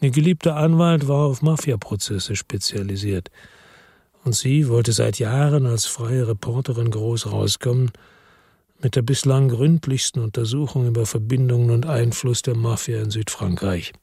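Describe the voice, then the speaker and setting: Husky world-weary cop narrating. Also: male voice, medium pitch, warm tone, dry recording, studio quality. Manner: slow, weary, measured narration. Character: Fabio, world-weary male police chief. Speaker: first-person narrator. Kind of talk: radio drama narration.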